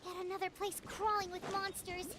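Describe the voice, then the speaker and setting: A woman voicing a tiny, childlike, eager fairy companion with a squeaky voice in a video game. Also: little pitch movement, a little fast, noisy background. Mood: earnest, urging.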